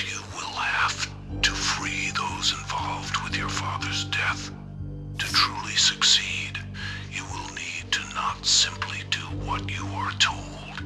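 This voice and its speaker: An actor playing a menacing serial killer who taunts captives in slow, ominous, distorted recordings with a breathy growl. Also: male voice, very high-pitched, exaggerated voice. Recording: music under the voice.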